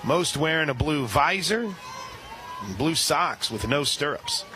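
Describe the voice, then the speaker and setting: Excitable radio ballgame announcer, man. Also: over music, crowd noise, low-quality recording. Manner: chatty, excitable, quick asides between pitches. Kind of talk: live radio sports commentary. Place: outdoor ballpark press area.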